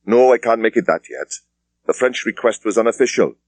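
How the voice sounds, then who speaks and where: A man's voice: fairly low pitch, expressive, a little fast, no echo, pitched above the performer's own voice. A man voicing a firm, businesslike British police superintendent with clipped speech in an audiobook. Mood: discreet, earnest.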